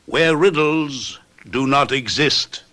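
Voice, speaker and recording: An actor playing a teasing, self-assured detective in a radio mystery drama, amused and emphatic. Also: male voice, mid-range voice, expressive, pushed and bright, soft background music. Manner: amused, emphatic, deliberate riddling.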